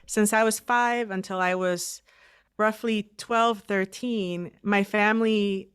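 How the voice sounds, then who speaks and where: A woman's voice: mid-range voice, steady tone, slow pace, clear, resonant tone. A woman from El Salvador, a nonprofit director guesting on a podcast, telling her story fluently and confidently. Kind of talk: casual interview.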